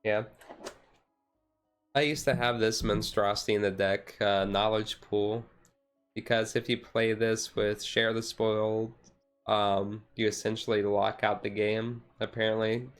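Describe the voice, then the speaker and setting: A man streamer chatting casually in a relaxed, halting, rambling way, thinking aloud as he goes. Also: fairly low pitch, clean tone. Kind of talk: relaxed casual chat about a card game, ASMR-style stream. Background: music playing softly behind the voice.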